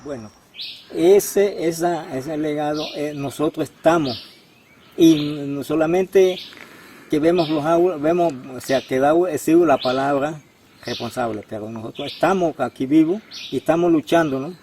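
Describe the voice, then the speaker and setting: A man, a community activist, giving a testimonial interview in a halting way, with many restarts and tag questions. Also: a little high, dark tone, slight background noise.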